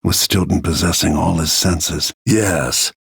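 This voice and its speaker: A grim middle-aged assassin from a video game, with a very low voice full of gravel and heavy rasp. He is weary and restrained, and delivers terse, dramatic remarks. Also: fairly even intonation, unhurried, no echo, studio quality, exaggerated voice. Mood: grim, brooding. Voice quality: gravelly, husky. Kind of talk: scripted game voice lines, short dramatic remarks.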